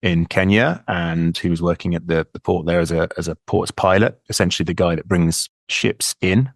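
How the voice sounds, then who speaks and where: A man's voice: sits low, moderate pace, vocal fry, no echo, studio quality. A man of about fifty with an English accent, a guest talking reflectively and repeating words as he gathers his thoughts. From England. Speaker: guest, leadership coach. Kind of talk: casual interview talk.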